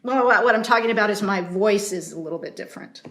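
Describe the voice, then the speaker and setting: A woman puppeteer casually demonstrating her craft, playful and quick to laugh. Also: mid-range voice, a bit bright, reverberant. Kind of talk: casual teaching demo.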